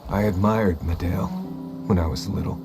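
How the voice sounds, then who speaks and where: A gentle young man with a low voice, carrying quiet grief in a game story. He speaks in a soft, measured, reflective way, with clear music playing behind him. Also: fairly even intonation. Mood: wistful, regretful. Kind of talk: video game story acting.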